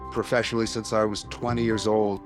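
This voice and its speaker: A man's voice: lowish voice, flat delivery, a little fast. A man in his fifties, a longtime professional singer, speaking reflectively in an interview. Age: 50s. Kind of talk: interview talk. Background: music bed.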